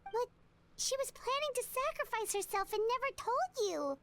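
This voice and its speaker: A woman voicing a tiny, outspoken, earnest childlike companion in an anime game, with a squeaky voice. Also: lively intonation, normal speed, a bit bright, a little hiss or hum, heavily acted. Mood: indignant. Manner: earnest, refers to self by name. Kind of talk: scripted anime game acting.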